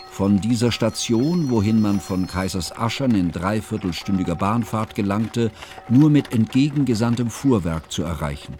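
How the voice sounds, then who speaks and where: An actor playing an ageing scholarly schoolmaster who narrates a radio drama in a measured, pedantic, ornate way. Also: male voice, fairly low pitch, a little mellow. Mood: descriptive, measured. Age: older. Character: ageing scholarly schoolmaster, humane, ceremonious, reflective. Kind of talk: literary first-person radio drama narration.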